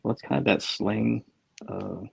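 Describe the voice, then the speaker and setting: Laid back dude, lowish gravelly voice, super dry sound, lots of likes and ums. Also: male voice, slow pace, a little mellow, no room sound, low-quality recording. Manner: laid-back, slangy, full of fillers. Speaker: podcast co-host. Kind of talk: casual chat.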